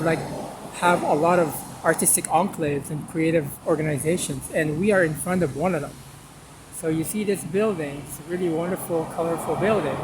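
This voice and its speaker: A man around sixty strolls from the street into a gallery, talking his way through it casually. His voice sits a touch high for a man, with a faint hum of traffic and air conditioning behind him. He rambles in a friendly way, slightly stuffy in the nose, with plenty of ums along the way. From Philippines. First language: Tagalog. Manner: chatty, discursive, with frequent um and uh. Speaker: art channel host. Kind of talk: casual gallery walkthrough vlog. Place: city street outside, then indoors in a gallery.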